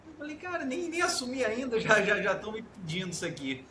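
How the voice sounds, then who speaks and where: A man's voice: very high voice, fast, a crowd in the background. A man with a Rio-leaning Brazilian accent, a judge telling anecdotes in a casual podcast chat and acting out the dialogue. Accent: Rio de Janeiro-leaning Brazilian Portuguese. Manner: lively anecdote teller, acts out dialogue, laughs often. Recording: echoey room with clear background noise.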